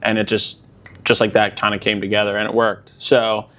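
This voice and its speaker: A man's voice: lowish voice, normal speed. Easygoing young man rambling casually. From Delaware County, Pennsylvania. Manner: relaxed, rambling, many fillers like "like". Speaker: musician guest. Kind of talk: casual interview chat.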